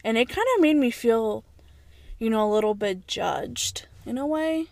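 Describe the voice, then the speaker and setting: A woman's voice: fairly high pitch, lively intonation, normal speed, vocal fry, darkish tone, dry recording. Earnest young woman, dry close mic. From United States. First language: Spanish. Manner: earnest, reflective, storytelling. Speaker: podcast co-host. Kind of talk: casual chat.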